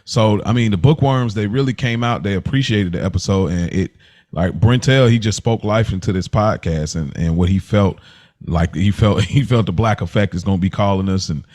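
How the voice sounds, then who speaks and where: A man's voice: lowish voice, moderate pace, darkish tone. A man co-hosting a podcast in casual conversation, reflective and emphatic, checking that listeners follow him. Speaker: podcast co-host.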